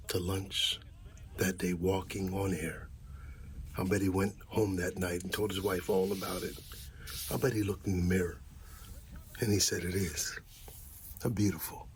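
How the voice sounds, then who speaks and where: A man speaking in a soft, half-whispered ASMR roleplay style as a gently encouraging friend, leaving long pauses. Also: low-pitched, a little hiss or hum.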